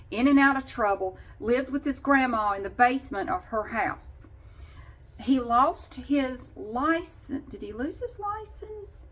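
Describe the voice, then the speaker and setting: A woman vlogger casually telling a personal story in a candid, wry and sarcastic way. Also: fairly high pitch.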